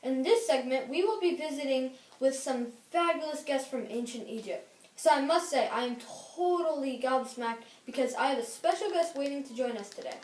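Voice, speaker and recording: A woman's voice: high voice, lively intonation, normal speed, a little mellow. A woman hosting a role-play interview in a cheerful, upbeat presenter manner, recorded in an echoey room from a distance. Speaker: interviewer host.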